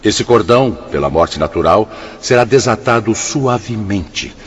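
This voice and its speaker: An actor playing a benevolent, authoritative spirit director who explains formally in a radio drama. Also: male voice, lowish voice, lively intonation, a little slow. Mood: grave, explanatory. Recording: muffled, noisy audio with ambience.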